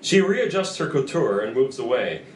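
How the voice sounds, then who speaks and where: A man's voice: mid-range voice, sing-song, moderate pace, a little hiss or hum. Man narrating a script, roomy sound. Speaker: narrator reading stage directions. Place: small indoor room at a table. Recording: roomy echo, faint music behind.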